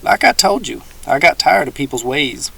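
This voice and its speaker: A man voicing a gruff, menacing old male swamp hermit with a folksy backwoods drawl. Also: fairly high pitch, animated, normal speed, bright, forceful tone, exaggerated voice, poor audio.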